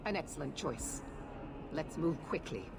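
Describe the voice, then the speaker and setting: A woman's voice: low voice, expressive, unhurried, creaky, heavy background noise, full character voice. An actress playing a stern, authoritarian female knight commander who talks in curt, impatient orders. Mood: commanding.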